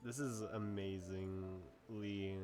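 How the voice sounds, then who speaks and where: A man reacts casually to a game he is playing. He talks very slowly and haltingly in loose slang, with music playing behind him. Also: sits low, steady tone. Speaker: game streamer.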